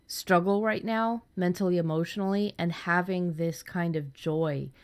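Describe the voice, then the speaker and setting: A woman's voice: lowish voice, fairly even intonation, normal speed, clear, resonant tone. A woman hosting a casual writing podcast, talking candidly and enthusiastically, with some casual swearing. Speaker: podcast host and fiction writer.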